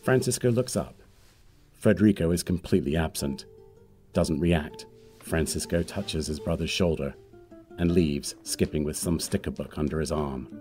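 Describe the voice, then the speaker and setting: A man's voice: extremely low pitch, normal speed, dark tone, soft background music. A man reading screenplay stage directions as scripted narration.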